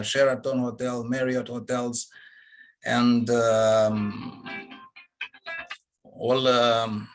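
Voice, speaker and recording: A middle-aged man talks slowly, his pitch staying flat, and he stops often for fillers. He speaks on a video call from a small office. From Romania. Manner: halting, many fillers. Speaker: plant operations manager.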